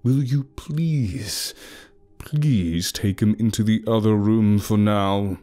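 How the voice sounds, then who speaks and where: A man voicing a menacing ancient male villain who speaks calmly and politely. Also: fairly low pitch, slow. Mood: pleading.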